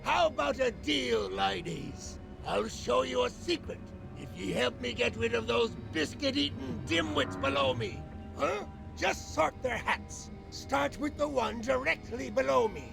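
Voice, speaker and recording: A man voicing a sly, wheedling pirate parrot in a video game, with a screechy, creaky voice. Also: very high-pitched, lively intonation, measured pace, full character voice. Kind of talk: video game character acting. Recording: loud music behind the voice.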